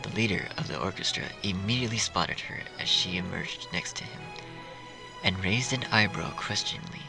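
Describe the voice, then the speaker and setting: A man's voice: deep voice, normal speed, creaky, over music, poor audio. A man reading a scripted story as its narrator.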